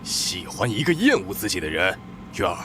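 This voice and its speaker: A man's voice: sits low, huge pitch swings, normal speed, noisy background. An actor playing a stern older noble father who scolds his daughter in a commanding tone. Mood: scolding. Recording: music behind the voice.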